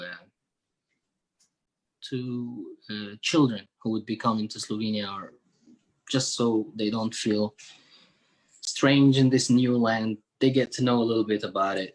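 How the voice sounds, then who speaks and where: A man's voice: on the low side, rough recording. Syrian man answering in an interview. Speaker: interview guest, musician working with NGOs.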